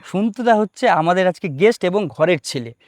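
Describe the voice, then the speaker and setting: A male host runs an informal interview. His voice sits high for a man and is recorded dry. He talks casually and without a script, mixing in English words. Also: expressive, moderate pace, darkish tone, no room sound.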